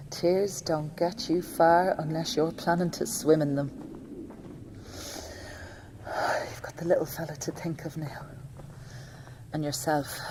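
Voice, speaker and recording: A woman's voice: fairly low pitch, background noise. A woman playing an anxious working-class young mother who fears for her husband, in an intimate audio drama monologue. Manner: hushed, breathy inner thoughts broken by spoken-aloud outbursts. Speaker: actor in a solo audio play.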